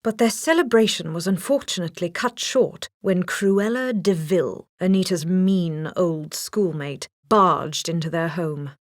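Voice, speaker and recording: A woman's voice: lowish voice, measured pace, few pauses, no echo. Woman narrating a children's storybook, dry close sound.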